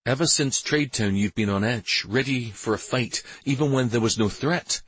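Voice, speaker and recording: A man's voice: mid-range voice, moderate pace, a bit bright, husky, dry recording. A man voicing a prince's loyal male companion in an audiobook, frank, earnest and concerned.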